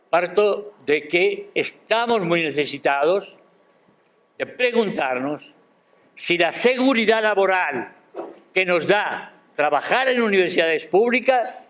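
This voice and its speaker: An older man giving a formal academic speech at a university ceremony, measured and emphatic, posing rhetorical questions. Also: high voice, fairly bright tone, rough recording. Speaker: guest speaker at a university ceremony. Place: university auditorium.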